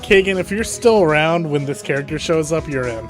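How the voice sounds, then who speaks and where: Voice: medium pitch, animated, fairly quick, faint music behind. A game streamer chatting casually with friends and viewers during a group stream.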